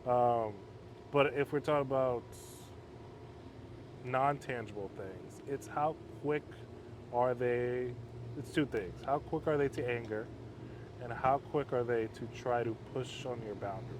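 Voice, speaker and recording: A man speaking as an interview guest, a halfway home counselor, in a calm, measured, fairly monotone way. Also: mid-range voice. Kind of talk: casual conversation. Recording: outdoor street noise.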